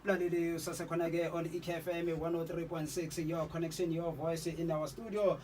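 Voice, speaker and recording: A man's voice: a little high, level tone, fairly quick, a little mellow, distant mic, a little hiss or hum. A South African man in his forties hosting a radio interview, giving encouraging prompts and mixing languages. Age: 40s. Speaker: radio host. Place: radio studio.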